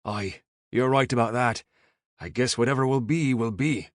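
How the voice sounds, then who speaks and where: A man's voice: medium pitch, normal speed, dry recording, studio quality, pitched above the performer's own voice, natural voice. A man voicing a touchy, proud Irish safecracker in a thick, lilting brogue. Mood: sardonic.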